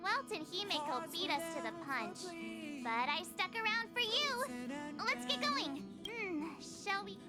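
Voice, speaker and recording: A woman's voice: very high voice, very animated, unhurried, echoey room, over loud music, heavily acted. A woman playing a bubbly, chatty teenage girl traveler in a video game, perky and exclamatory. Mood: cheerful, excited.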